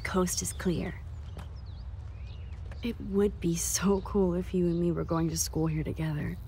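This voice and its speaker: A curious, earnest teenage girl in a video game. She is soft-spoken and a bit hesitant, with a slightly low voice for a girl. Faint ambient noise sits behind her. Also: steady tone, unhurried. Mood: light, hurried. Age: young adult. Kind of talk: video game dialogue acting.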